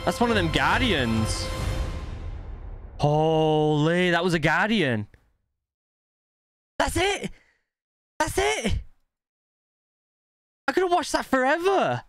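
A man hosting a reaction video indoors, close on the mic. His voice sits a little high, and he talks casually in hyped exclamations, with some music behind. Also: very animated, takes it slowly, long pauses, a bit bright, no echo. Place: indoor streaming setup. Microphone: close boom-arm microphone.

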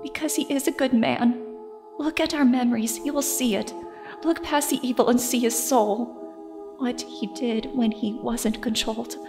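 Young heroine played by a woman, high voice, pleading, emphatic, long reverb, faint music. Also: steady tone, moderate pace, lightly acted. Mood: pleading, earnest. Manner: impassioned, emphatic. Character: earnest young heroine pleading for a loved one. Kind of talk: superhero audio drama acting. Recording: reverberant hall effect.

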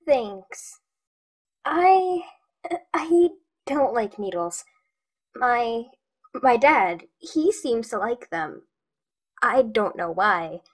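A woman's voice: on the high side, sing-song, drawn-out words, pauses often, full character voice. A woman playing a naive, nervous little girl in an audio drama, hesitant and full of questions. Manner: hesitant, anxious.

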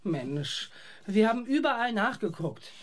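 A woman playing an easygoing, joking, adventurous young boy with a husky voice in a children's audio drama. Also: mid-range voice, big pitch swings, unhurried. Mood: joking, casual.

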